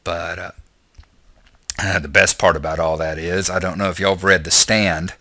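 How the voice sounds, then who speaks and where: Hoarse, low-voiced man. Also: fairly even intonation, normal speed, pushed and bright, no echo, studio quality. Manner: rambling, folksy, many fillers. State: hoarse from a chest cold. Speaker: channel host. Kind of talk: casual gameplay vlog commentary.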